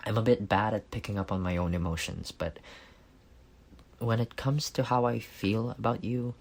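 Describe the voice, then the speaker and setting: Casting: a man to play a reserved, analytical young man in ASMR romance; lowish creaky voice, hesitant, intimate delivery. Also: fairly even intonation, moderate pace. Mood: sheepish, tender. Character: reserved, analytical young man confessing love to best friend. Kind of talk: intimate ASMR roleplay acting.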